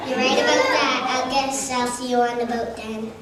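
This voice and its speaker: A woman's voice: high voice, steady tone, normal speed, a loud crowd, natural voice. Woman acting chatty puppet character in echoey room. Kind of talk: amateur puppet show acting. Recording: very echoey room, distant, noisy.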